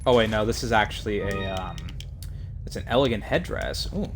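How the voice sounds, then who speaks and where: A man's voice: fairly low pitch, moderate pace, music in the background. A man streaming a video game, chatting casually with viewers in a profane, joking, rambling way. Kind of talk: casual live-stream commentary.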